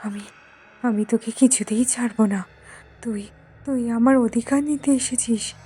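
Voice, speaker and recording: A woman voicing a vengeful, possessive ghost of a dead bride in a horror cartoon, halting and repeating her threats in a breathy voice. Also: on the high side, dark tone. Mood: menacing, bitter.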